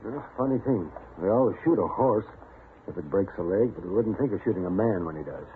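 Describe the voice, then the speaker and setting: An actor playing a wry, persuasive older American town doctor in a radio western. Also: male voice, fairly low pitch, expressive, a little fast, lightly acted. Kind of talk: old-time radio western drama acting. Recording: muffled, faintly noisy old radio recording.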